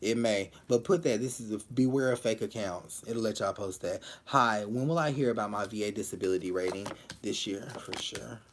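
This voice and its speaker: Male live-stream tarot reader, lower voice, blunt and repetitive with lots of ums. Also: fairly quick, hardly any pauses, a little hiss or hum. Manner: repeats phrases, many fillers, direct and blunt.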